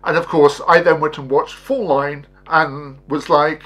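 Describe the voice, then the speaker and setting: A man in his forties giving a casual spoken review to camera, halting and often tagging statements with "okay?". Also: fairly high pitch, steady tone, fairly bright tone. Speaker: host, film reviewer. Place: small indoor set with curtain backdrop.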